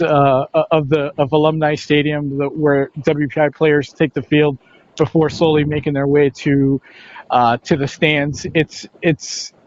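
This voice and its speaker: A man who works as a play-by-play announcer answers questions in a conversational sports interview, with halting phrasing and repeated words. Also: a little high. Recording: dull, lo-fi, band-limited sound.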